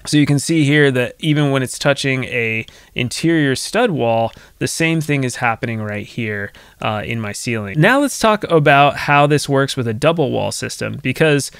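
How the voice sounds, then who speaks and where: Clear-voiced North American man teaching. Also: medium pitch, animated, moderate pace, fairly bright tone, dry recording, studio quality. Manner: explanatory, conversational. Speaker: soundproofing instructor and video host. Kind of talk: instructional screen-share tutorial. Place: indoor home studio, close up. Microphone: desk microphone close to the mouth.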